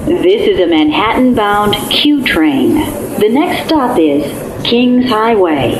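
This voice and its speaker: Female transit announcer, prerecorded: measured, clipped phrases, echoey PA over heavy train roar. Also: big pitch swings. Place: inside a moving subway train. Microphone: camera recording the train's loudspeaker. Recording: PA speaker in a roaring subway car. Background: loud train rumble.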